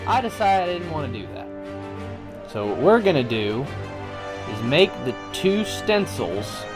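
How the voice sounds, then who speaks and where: Man explaining a craft project over music. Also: mid-range voice. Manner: explanatory, step by step. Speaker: host of a craft tutorial channel. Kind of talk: casual craft tutorial narration.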